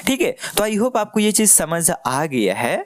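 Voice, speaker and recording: Indian man, lively higher-pitched tutorial voice. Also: unhurried. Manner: explanatory, addresses the listener, often checks understanding. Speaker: tutorial host. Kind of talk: casual step-by-step software tutorial.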